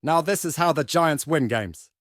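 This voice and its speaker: A man's voice: fairly high pitch, lively intonation, moderate pace, fairly bright tone, dry recording, studio quality. A man voicing a crude, foul-tempered, self-pitying husband in a manga dub, talking belligerently. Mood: excited.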